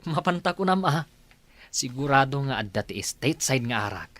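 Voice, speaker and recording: A man's voice: medium pitch, animated, normal speed, fairly bright tone, dry recording, close to an everyday voice. A man with a Filipino accent playing a jokey, drink-loving young bachelor in a village comedy radio drama, playful and exclamatory. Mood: playful, cajoling.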